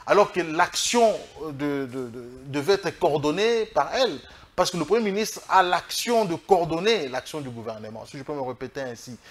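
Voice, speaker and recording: Higher-voiced Congolese man arguing emphatically. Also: animated, normal speed. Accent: Congolese French. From Democratic Republic of the Congo. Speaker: political guest, lawyer. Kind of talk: political interview, spoken argument.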